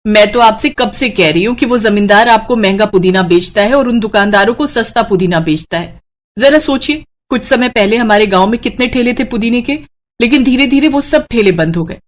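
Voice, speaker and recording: A woman's voice: a little high, expressive, brisk, natural voice. A woman voicing a concerned village wife in a moral story, reasoning insistently and earnestly. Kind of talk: Hindi moral story voice acting.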